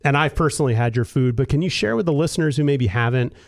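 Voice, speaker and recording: Quick-talking host guy, upbeat and gushing, dry close mic. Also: mid-range voice, gravelly, dry recording. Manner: enthusiastic, effusive. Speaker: podcast host. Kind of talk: casual interview.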